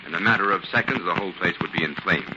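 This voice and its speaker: An actor playing a confident, steady scientist sleuth with an assured manner in a 1940s radio drama. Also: male voice, low-pitched, rapid-fire, lightly acted. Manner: assured, explanatory. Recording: noisy muffled 1940s radio recording.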